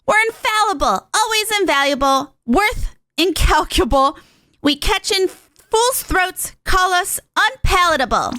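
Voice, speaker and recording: A woman voicing a brash, peppy young female rapper drone from a video game, in a punchy, slangy, excitable manner. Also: extremely high pitch, a little slow, dry recording, pitched above the performer's own voice, exaggerated voice. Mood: boastful.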